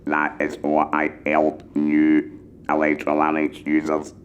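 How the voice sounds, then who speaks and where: Male mentor, electrolarynx speaker: mechanical buzz, utterly flat intonation, slow and deliberately articulated advice. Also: extremely low pitch, highs cut off, faint music behind. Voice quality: buzzing, monotone electrolarynx voice. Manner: measured, deliberately enunciated phrasing. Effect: mechanical electrolarynx vibration. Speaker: patient mentor for electrolarynx users. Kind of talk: explanatory talk giving advice.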